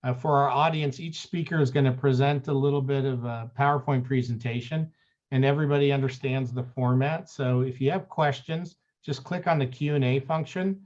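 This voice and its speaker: A man hosting a webinar on a video call. He reads out a long guest introduction in a friendly, slightly halting way. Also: medium pitch, darkish tone, highs cut off. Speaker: webinar moderator.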